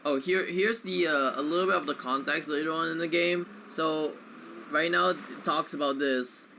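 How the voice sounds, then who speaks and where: A man's voice: on the high side, fairly even intonation, normal speed, gravelly, a little hiss or hum. A man giving casual live commentary on a video game as he streams it. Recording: game music under the voice. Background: loud game music.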